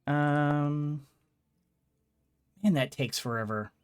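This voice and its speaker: A woman streamer thinks aloud through casual gameplay. Her voice is smooth and clean, and her delivery is unhurried and halting. Light game music sits behind her. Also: low voice, darkish tone.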